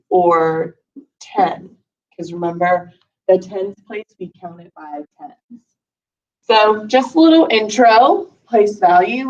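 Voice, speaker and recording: Grade-school teacher, woman, slow and encouraging, slightly scratchy voice, dull lo-fi recording. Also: mid-range voice, darkish tone. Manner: slow, patient, encouraging teacher talk with counting aloud. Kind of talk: recorded math lesson for young kids. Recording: lo-fi, voice a bit far from the mic.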